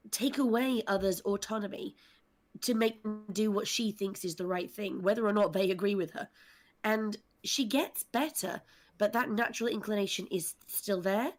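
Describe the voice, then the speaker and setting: A woman speaking articulately and earnestly at length, explaining characters on a discussion panel. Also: medium pitch.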